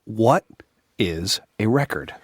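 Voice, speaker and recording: A man's voice: lowish voice, lively intonation, slow pace, breathy edge, no room sound, studio quality. A man co-hosting a podcast, asking guests friendly questions and leading the conversation. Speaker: podcast co-host.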